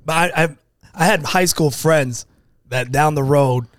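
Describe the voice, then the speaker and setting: High-voiced man in loose banter. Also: unhurried, no echo. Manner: goofy, apologetic, laughs while talking. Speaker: podcast co-host. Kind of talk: casual podcast banter.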